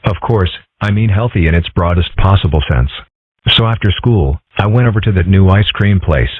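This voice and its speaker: A man voicing a calm, philosophical young male fox in a comic dub, husky, measured and deadpan. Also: sits low, dry recording. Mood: calm, explaining. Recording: muffled narrow-band lo-fi audio.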